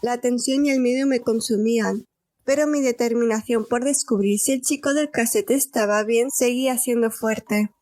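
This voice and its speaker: A woman's voice: on the high side, a little mellow, dry recording, natural voice. A woman playing a scared, determined young captive, narrating an audio drama in the first person.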